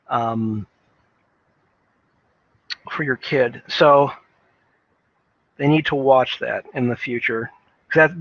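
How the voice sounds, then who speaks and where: Man, mid-pitched voice, casual game opinions, halting and rambling, repeated words, dry muffled recording. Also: fairly bright tone, dry recording. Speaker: video game reviewer. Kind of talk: casual unscripted opinion commentary.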